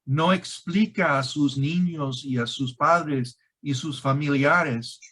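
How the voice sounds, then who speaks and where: A man with a foreign accent who is not a native Spanish speaker, explaining as a guest expert in a halting, emphatic way with grammatical slips. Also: on the high side, a bit bright, breathy edge. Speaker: guest expert on online scams. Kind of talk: explanatory conversation.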